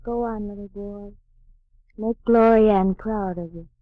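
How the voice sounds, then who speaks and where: A woman's voice: mid-range voice, steady tone, measured pace, no echo, natural voice. An actress playing a coy, murderous female circus trapeze artist in 1940s radio acting, teasing and purring. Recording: dull lo-fi 1940s radio broadcast.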